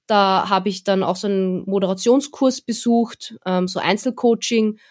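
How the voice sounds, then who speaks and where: A woman's voice: mid-range voice, moderate pace. A young woman, an event presenter, chatting easily as a podcast guest. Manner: relaxed, chatty, with fillers. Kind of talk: casual interview talk.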